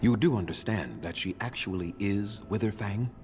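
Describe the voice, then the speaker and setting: An actor voicing a stern, proud old male elf clan keeper in a video game, speaking in grave, measured, formal tones. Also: lowish voice, soft background music, lightly acted.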